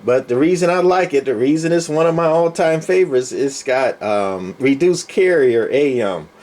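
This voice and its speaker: Man, middle-aged, medium pitch, folksy, unscripted show-and-tell, rambling, thinking aloud. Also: lively intonation, moderate pace, darkish tone. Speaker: radio collector showing a collection.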